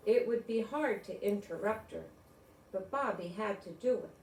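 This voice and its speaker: A woman's voice: mid-range voice, expressive, moderate pace, gravelly, far from the mic, a little hiss or hum. An older woman narrating a children's story as a storybook reader. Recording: echoey room.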